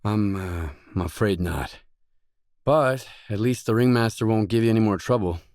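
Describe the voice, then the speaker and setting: A man's voice: fairly low pitch, moderate pace, darkish tone, no echo, studio quality, natural voice. A man playing a matter-of-fact mercenary stalker, the hero of a video game, speaking in terse, businesslike dialogue lines. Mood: matter-of-fact, guarded.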